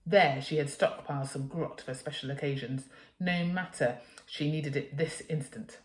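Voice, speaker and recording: A woman narrates a children's storybook in a fairly low voice. She reads dramatically, leaning on key words for young listeners. The room around her is noticeably echoey. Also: normal speed. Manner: dramatic, emphatic reading for children. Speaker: teacher reading a children's story aloud.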